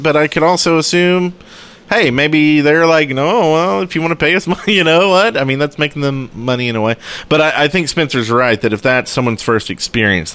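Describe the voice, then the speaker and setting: A man's voice: a little high, a bit bright. A man co-hosting a casual gaming podcast, reasoning aloud in a tentative way. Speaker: podcast co-host.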